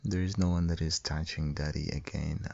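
A man with a gravelly, husky voice doing a soft, intimate boyfriend ASMR roleplay as a loving, doting husband and father. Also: extremely low pitch, steady tone, dull audio. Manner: tender, doting, slow, murmured with soft hums.

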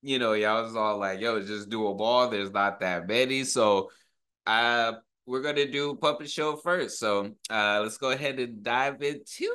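A man's voice: medium pitch, unhurried, pushed and bright, smooth. A man in his thirties giving casual, slangy reaction commentary, enthusiastic and full of awe, as a video host. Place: small home room.

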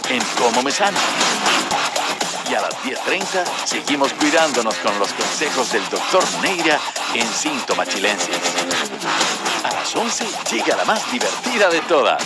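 A man's voice: mid-range voice, measured pace. A man reading an upbeat TV schedule promo as a voice-over. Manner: lively, selling. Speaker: TV channel announcer. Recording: promo voice over loud music.

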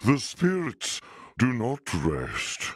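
A man voicing a solemn, menacing tree spirit in a video game, with a raspy voice. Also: medium pitch, huge pitch swings, extremely slow, raised voice, full character voice. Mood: solemn menace. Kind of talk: video game battle callouts.

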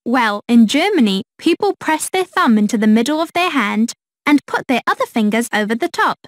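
A woman voicing a young woman back from holiday, explaining customs in a bright way. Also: on the high side, lively intonation, normal speed, no room sound, studio quality, close to an everyday voice. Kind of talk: scripted textbook dialogue.

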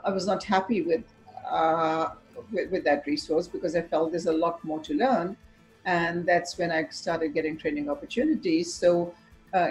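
Soft-spoken woman educator from Pakistan. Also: fairly low pitch, poor audio. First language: not English. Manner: thoughtful, many fillers and restarts. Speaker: teacher educator. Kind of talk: reflective talk to camera. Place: office with bookshelves, indoors.

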